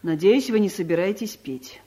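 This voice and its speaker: A woman's voice: mid-range voice, expressive, moderate pace, warm tone, lightly acted. An actress in a radio comedy playing a stern, domineering woman director who speaks formally, bluntly and imperiously. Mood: curt, blunt. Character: stern, domineering female director, dry and categorical. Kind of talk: radio play comedy acting.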